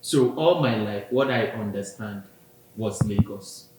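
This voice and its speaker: A Nigerian man on a podcast, earnestly explaining his view with personal examples. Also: unhurried. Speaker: podcast co-host. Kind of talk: casual discussion. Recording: very echoey room, faint rumble.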